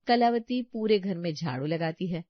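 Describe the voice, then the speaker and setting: A woman's voice: fairly low pitch, animated, moderate pace, no room sound. Woman story narrator, dry dull sound.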